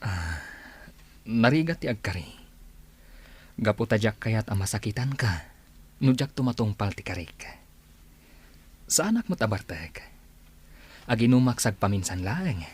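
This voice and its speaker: A sweet, insistent suitor in an Ilocano radio play. His voice is fairly low and husky, with an Ilocano accent. He courts persistently and coaxingly. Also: big pitch swings, unhurried, pauses often, a little mellow, heavily acted. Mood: pleading. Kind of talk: Ilocano radio drama acting.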